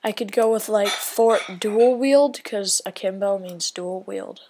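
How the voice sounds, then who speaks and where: Slow, rambling woman muttering over gameplay. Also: medium pitch, noisy background. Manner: rambling, thinking aloud, often drops to a whisper. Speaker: gaming video creator. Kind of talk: casual gameplay commentary.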